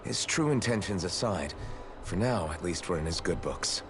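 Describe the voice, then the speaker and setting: An actor voicing a calm, level-headed young hero in an anime-style game. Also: male voice, low-pitched, moderate pace, background noise.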